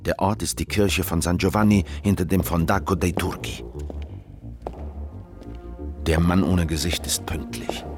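An actor playing a hardened, determined old rebel in a German radio drama, speaking in a terse, intense and probing way. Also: male voice, low voice, measured pace, long pauses. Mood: suspenseful. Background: music.

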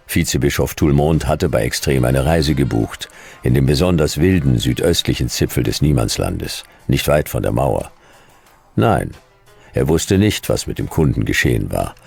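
A hard-boiled detective narrating a radio noir. His voice is extremely low and rough with vocal fry, dry and sardonic in delivery. Faint music runs behind him. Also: lively intonation, moderate pace, darkish tone, heavily acted. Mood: dry narration. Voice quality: gravelly. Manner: laconic, sardonic. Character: world-weary, hard-boiled fifty-year-old male detective narrating his case. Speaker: lead character and narrator.

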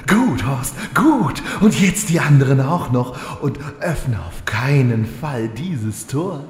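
A man's voice: mid-range voice, animated, slow. A man voicing an evil enchanted sword in a parody audio drama, scheming, petty and theatrical. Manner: theatrical, insinuating. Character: evil talking runesword, scheming, petty, easily offended. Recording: echoing hall reverb.

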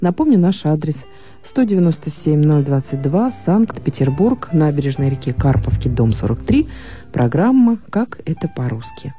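A woman's voice: deep voice, big pitch swings, moderate pace, warm tone, faint music behind. A woman hosting a radio call-in program, taking calls and signing off formally. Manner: formal announcer delivery. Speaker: radio host. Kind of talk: radio presenting.

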